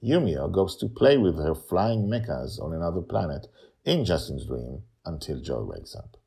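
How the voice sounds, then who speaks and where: A man with a gravelly, husky voice narrating a story as its author and host, in a theatrical, emphatic way with dramatic pauses. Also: deep voice, very animated, dark tone.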